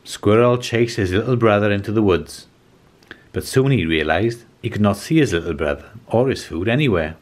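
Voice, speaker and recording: A man in his forties reading a children's storybook aloud as scripted narration, in a measured, expressive way. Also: fairly low pitch. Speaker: children's storybook reader and host.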